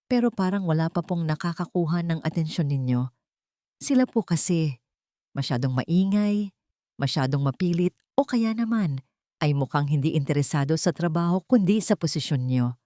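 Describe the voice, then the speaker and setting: Professional female assistant voiced by a woman narrator, calm and respectful, radio drama, close dry recording. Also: deep voice, normal speed, no echo. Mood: polite, careful.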